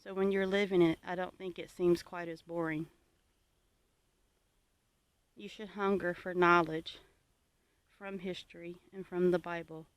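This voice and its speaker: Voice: mid-range voice, clear, resonant tone, no room sound, muffled recording. Plainspoken homesteader from the United States, dry sound. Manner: earnest, plainspoken, advisory. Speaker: homesteading vlogger. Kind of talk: informal reflective monologue to viewers.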